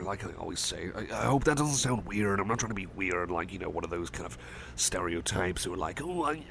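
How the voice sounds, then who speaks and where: A man playing a shy, caring, anxious friend in an intimate ASMR roleplay, speaking softly and hesitantly with stammers and gentle, murmured rambling. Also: medium pitch, creaky, slight background noise. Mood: shy, affectionate.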